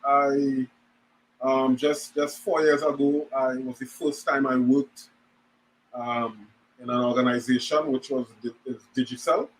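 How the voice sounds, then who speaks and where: A man's voice: mid-range voice, flat delivery, a little slow, poor audio. Hesitant man in his forties. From Trinidad and Tobago. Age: 40s. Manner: hesitant, lots of ums and restarts. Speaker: guest. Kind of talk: casual chat on a video call.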